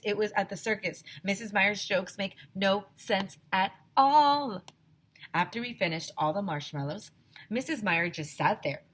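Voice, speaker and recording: A woman's voice: medium pitch, slightly rough. A woman teacher reading a children's story aloud expressively and talking directly to her young listeners. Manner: animated, addresses children directly.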